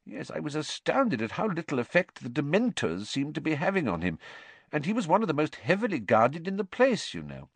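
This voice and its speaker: Man putting on a pompous minister, middle-aged, grave, fussy, raised swooping pitch, storybook character. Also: huge pitch swings, fairly quick, husky, no room sound, full character voice. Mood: unnerved, astonished.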